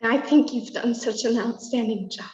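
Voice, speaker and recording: A woman's voice: fairly high pitch, a little mellow. Effusive woman host in an echoey room. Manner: emotional, effusive, halting. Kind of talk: ceremony hosting on a video call. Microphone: video call. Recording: echoey, dull room sound.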